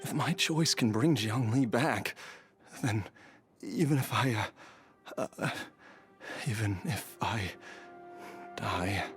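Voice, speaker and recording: Game role: sickly, devoted father, low weary voice, earnest and resigned, soft music underneath. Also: slow, long pauses. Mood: anguished. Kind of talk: dramatic video game voice acting. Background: background music.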